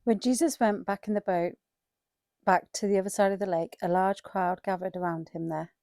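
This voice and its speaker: A woman's voice: mid-range voice, fairly even intonation, normal speed, mellow, no echo, clean studio sound. A woman giving a scripted narration of a dramatized Bible reading.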